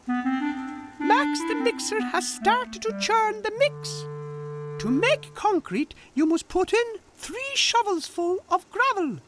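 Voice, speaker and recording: A man voicing an excitable, helpful little talking vehicle in a children's story, with an instructive, emphatic manner. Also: very high voice, takes it slowly, over music, voice pushed up, exaggerated voice. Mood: explaining.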